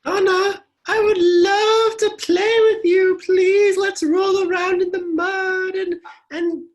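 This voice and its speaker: A woman voicing a friendly cow, eager to play, with a drawn-out pleading delivery in a children's story. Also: extremely high pitch, flat delivery, pitched above the performer's own voice.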